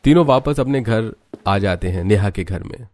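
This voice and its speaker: A man's voice: on the low side, lively intonation, normal speed, a little mellow. A man narrating a scripted cartoon village story as its storyteller.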